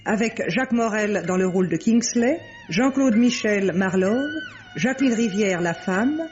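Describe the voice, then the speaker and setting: A woman's voice: mid-range voice, animated, measured pace, darkish tone. A woman working as a radio announcer, formally and articulately reading scripted programme credits. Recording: dull vintage radio recording. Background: music.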